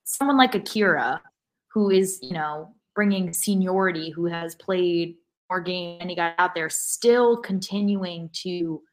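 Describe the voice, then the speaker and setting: A woman co-hosting a soccer fan podcast, picking apart play in a casual, halting and exasperated way. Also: fairly low pitch, poor audio. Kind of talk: casual sports analysis.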